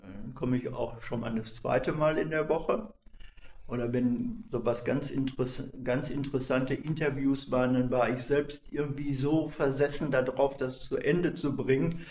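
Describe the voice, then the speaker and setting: A man's voice: mid-range voice, steady tone, moderate pace, a little mellow. Hesitant older man in echoey room. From not from Cologne. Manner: hesitant, many fillers and restarts. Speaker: volunteer archivist. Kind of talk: conversational interview answers.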